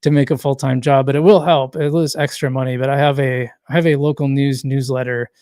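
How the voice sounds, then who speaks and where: A man chats loosely on a solo livestream, his voice at a middling pitch and starting to wear out. He rambles, restarts sentences and reads viewer comments aloud. The sound is dry and close, with little room echo. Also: fairly even intonation, brisk, dark tone, clean tone. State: losing his voice. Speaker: livestream host. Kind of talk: casual solo livestream chat.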